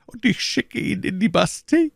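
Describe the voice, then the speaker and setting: A male narrator plays a powerful storybook cardinal, pitched high with dramatic swoops. He is pompous and calculating, commanding in a measured, menacing way. The recording is dry. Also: very animated, creaky, no echo, full character voice. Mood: cool, commanding.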